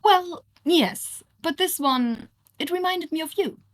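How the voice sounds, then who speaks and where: A woman's voice: very high-pitched, expressive, slow, voice pushed up, full character voice. A woman voicing a fussy, affectionate male angel in a story, earnest and emphatic. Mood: flustered, exasperated.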